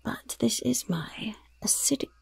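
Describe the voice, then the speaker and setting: A woman voicing a mischievous goblin shopkeeper in a breathy whisper, with coy, unhurried sales patter in an ASMR roleplay. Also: a little high, expressive, a little mellow, no echo, exaggerated voice. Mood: sly, welcoming. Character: mischievous female goblin shopkeeper selling poisons and curses.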